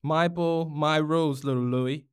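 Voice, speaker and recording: A man voicing a big, smug, hot-tempered schoolboy bully in a storybook, loud and domineering. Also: a little high, steady tone, measured pace, smooth, pitched above the performer's own voice, natural voice. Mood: bossy, threatening.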